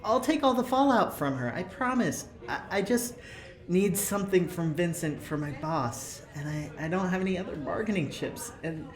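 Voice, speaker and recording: A man's voice: high-pitched, expressive, moderate pace. A man playing a friendly ship engineer who trades favors, cajoling and hesitant, in an audio drama. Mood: sheepish, grateful. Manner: cajoling, hesitant, eager to please.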